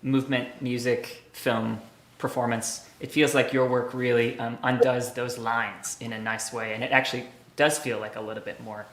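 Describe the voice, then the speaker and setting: Male interviewer, mid pitch, hesitant and thoughtful, roomy reverberant sound. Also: flat delivery, normal speed, a bit bright. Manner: thoughtful, hesitant, many fillers. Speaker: interviewer, host. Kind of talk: conversational interview.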